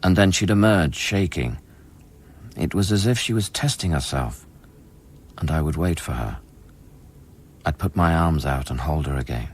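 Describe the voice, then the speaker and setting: A man acting a haunted scientist in a radio drama, recounting life on an alien world in measured, introspective, literary phrases. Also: a bass voice, animated, slightly rough, full character voice. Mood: brooding, awed. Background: music.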